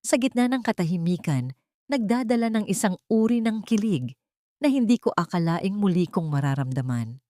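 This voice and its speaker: A woman with a Filipino accent narrating a scripted dramatic first-person story in a measured, emotive way. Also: medium pitch, animated, warm tone, dry recording, studio quality.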